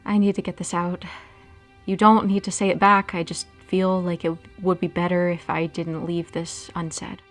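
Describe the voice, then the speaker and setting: A woman's voice: fairly low pitch, level tone, normal speed, soft background music, natural voice. A woman playing a sincere, vulnerable young girlfriend in an audio drama. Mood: vulnerable confession. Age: young adult.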